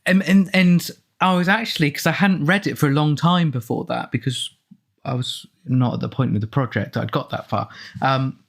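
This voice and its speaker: A man chatting casually in a discursive, thoughtful way, as in a relaxed film commentary. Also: on the high side, normal speed, gravelly. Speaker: author and film commentary speaker.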